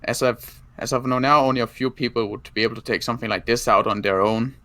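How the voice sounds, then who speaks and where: Man acting as ex-military zombie survivor, bright voice, close dry sound, halting, cautious, game roleplay. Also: medium pitch, steady tone, no echo, close to an everyday voice. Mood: cautious, serious. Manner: halting, restarts and rephrases often. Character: battle-worn male ex-military zombie apocalypse survivor, cautious marksman. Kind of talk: improvised Minecraft zombie roleplay.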